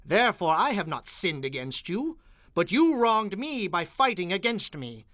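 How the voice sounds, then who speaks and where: An ancient Israelite commander and father in a radio-theatre Bible production. His delivery is declamatory and formal, with a lively, sweeping pitch range. The tone is bright and forceful, recorded close and dry. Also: normal speed, no room sound. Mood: indignant, forceful. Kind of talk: dramatized audio Bible acting.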